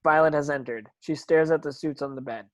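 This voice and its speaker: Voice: medium pitch, fairly quick, no room sound. A narrator reading screenplay stage directions in an online table read, now and then stumbling and repeating words.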